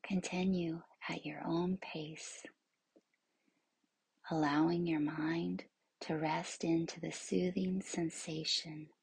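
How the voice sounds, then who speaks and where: A woman guides a meditation as a yoga nidra instructor. Her voice is very husky and breathy, often close to a whisper. She speaks slowly and evenly, soothing and hushed, leaving long pauses. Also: low voice, level tone, dry recording, low-quality recording.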